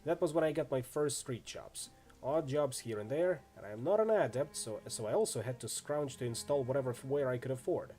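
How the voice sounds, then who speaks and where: Man voicing an easygoing, animated orc swordsman. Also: mid-range voice, brisk, soft background music, natural voice. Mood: matter-of-fact, casual. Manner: laid-back, chatty street slang. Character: easygoing, friendly young male orc wandering swordsman. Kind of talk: acted reading of role-playing game dialogue.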